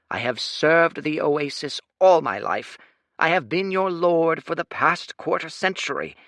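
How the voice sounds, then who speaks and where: A scheming old lord makes a grand public speech in a raised, lively voice, as a man puts him on. His tone rises and falls widely, and the sound is dry and close. This is storybook narration. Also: on the high side, dry recording, voice pushed up, exaggerated voice. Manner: declamatory, oratorical.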